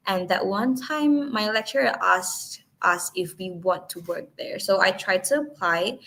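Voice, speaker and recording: Young woman, from Indonesia, Indonesian native speaker, webinar speaker, hesitant, many fillers, medium pitch. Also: sharp tone. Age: young adult. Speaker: guest speaker. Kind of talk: webinar talk.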